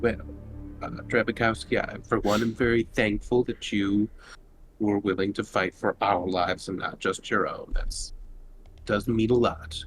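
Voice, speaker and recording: A man's voice: lowish voice, little pitch movement, a little slow, music in the background, low-quality recording. A man playing a tabletop game, speaking casually in character to the group. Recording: noticeable background noise on mic.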